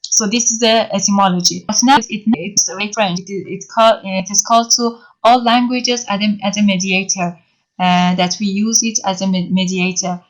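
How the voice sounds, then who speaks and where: A woman whose first language is Persian, giving an online lecture in English, halting and filling pauses often as she explains step by step. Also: medium pitch, steady tone. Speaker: university lecturer.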